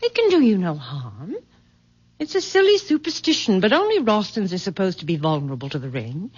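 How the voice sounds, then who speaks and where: Through a dull, lo-fi radio recording comes a proud middle-aged matriarch. She is haughty and formal, and her pitch swings dramatically as she commands. It is bitter, imperious old-time mystery acting. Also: huge pitch swings, fairly quick, a little mellow, breathy edge. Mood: insistent, imperious.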